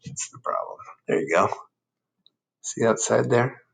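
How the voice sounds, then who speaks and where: A man reading a children's story aloud, expressive, gently prompting young listeners with questions and asides. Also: on the low side, a little slow, gravelly. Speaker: picture book reader.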